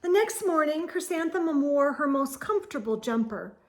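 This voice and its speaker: A woman reading a children's picture book aloud in an expressive, theatrical way, lingering on words. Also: high-pitched, moderate pace. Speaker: storytime reader.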